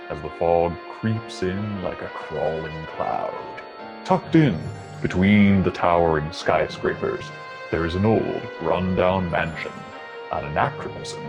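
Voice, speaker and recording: Macabre radio horror host, male actor: low, theatrical and drawn-out, sinister humour, music underneath. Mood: ominous. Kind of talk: old-time radio horror acting.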